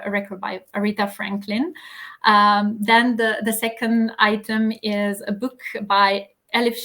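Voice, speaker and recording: Serbian-accented woman guest, measured. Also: medium pitch, creaky, fairly bright tone. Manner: measured, with frequent filler pauses. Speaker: guest, magazine editor. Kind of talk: casual interview chat.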